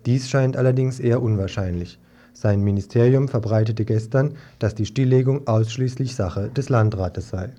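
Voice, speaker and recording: A man's voice: medium pitch, normal speed, dark tone. A man presenting a scripted radio news report, reading it aloud. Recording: dull lo-fi 1980s radio tape.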